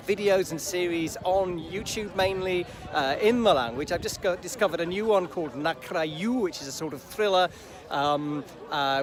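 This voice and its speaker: A chatty man with a slightly high voice talks to camera outdoors at a park event. He fills pauses with "uh" and restarts his sentences. A clear crowd buzz and passing traffic sit behind him. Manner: chatty, with frequent fillers and restarts. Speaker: language learning vlogger. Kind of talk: casual vlog update to camera. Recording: outdoor recording with crowd noise.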